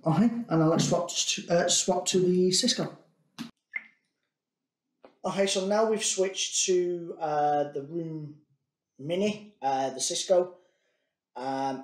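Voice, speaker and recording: Chatty man in an echoey meeting room. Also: mid-range voice. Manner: chatty, filler-heavy, thinking aloud. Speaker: product demonstrator. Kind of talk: casual product demo. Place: meeting room with large table. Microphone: conference room device mics, distance varies.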